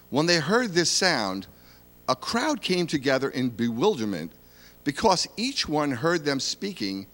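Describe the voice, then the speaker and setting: A man reading a Bible passage aloud in a measured, expressive way in a reverberant hall. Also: medium pitch. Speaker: scripture reader.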